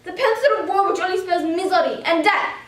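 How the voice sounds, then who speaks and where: A woman performing a dramatic monologue as a frightened, anguished young wartime girl, emotive and deliberate in delivery. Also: extremely high pitch, fairly bright tone, pitched above the performer's own voice. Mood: bitter, indignant. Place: indoor room, recorded from a distance. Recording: roomy echo, distant microphone.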